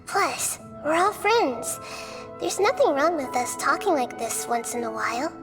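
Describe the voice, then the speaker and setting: A woman voicing a gentle, wise young-girl goddess who speaks softly and patiently, in a video game scene. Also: high-pitched, expressive. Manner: soft, patient, measured. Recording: game music under voice. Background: game background music.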